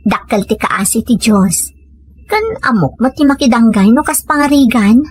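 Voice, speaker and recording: A woman acts out a plain-spoken rural Filipino wife and mother in an audio drama. Her voice is high and a little husky, full of feeling, in a roomy echo with faint music behind. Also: moderate pace. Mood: hopeful, tender. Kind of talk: Ilocano audio comedy drama acting.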